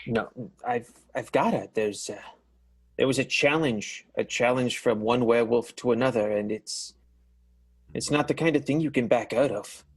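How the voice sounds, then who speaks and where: A man's voice: medium pitch, normal speed, breathy edge, lightly acted. A man playing a kindly, heartbroken theater manager turned werewolf in an improvised radio drama, speaking hesitantly and repeating himself. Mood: resigned, kindly. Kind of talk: improvised radio soap opera acting.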